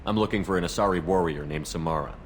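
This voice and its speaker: A man playing a calm, businesslike military commander in a video game, asking direct questions in a measured manner. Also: sits low, slight background noise, lightly acted.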